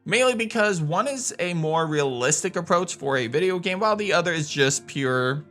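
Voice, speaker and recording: A man narrates a gaming countdown in a forceful, bright voice. He is conversational and opinionated, like a host talking to fellow fans. Music plays softly behind him, and his voice sits a little high. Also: animated, normal speed. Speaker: host of a gaming video channel. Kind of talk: video essay countdown narration. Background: background music.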